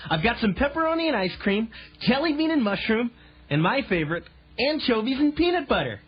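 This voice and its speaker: A goofy, boisterous teenage turtle for a children's audio drama. The voice is husky and cartoonishly animated, on a dull tape recording with faint music. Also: male voice, very high voice, fairly quick, exaggerated voice. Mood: gleeful. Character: goofy, pizza-crazed teenage male mutant turtle.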